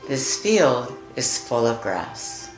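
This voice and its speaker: A woman leading a guided meditation in a soothing, deliberate manner, leaving long pauses between phrases. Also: rumbling low, sing-song. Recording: roomy echo with music behind. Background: music.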